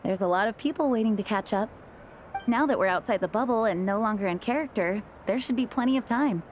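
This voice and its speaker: A woman voicing an earnest, courteous young leader in a video game, gentle and thoughtful in manner. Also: mid-range voice, brisk, slight background noise, natural voice. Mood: grateful, reflective. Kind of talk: video game character acting.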